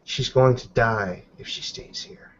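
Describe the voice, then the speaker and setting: Man plays protective she-werewolf in tabletop game, earnest, firm, unhurried pleading, muffled recording. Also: mid-range voice, close to an everyday voice.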